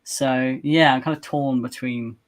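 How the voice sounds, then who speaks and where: A man's voice: mid-range voice, fairly even intonation, a little slow. A man chatting casually and thoughtfully as a livestream guest, somewhat hesitant. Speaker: cast guest on a Q&A.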